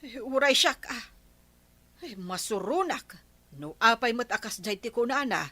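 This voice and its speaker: A woman's voice: a little high, animated, unhurried, pushed and bright, full character voice. A woman playing a patient, practical village wife in an Ilocano comedy radio drama, speaking with an Ilocano accent. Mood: placating. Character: patient village wife soothing her husband.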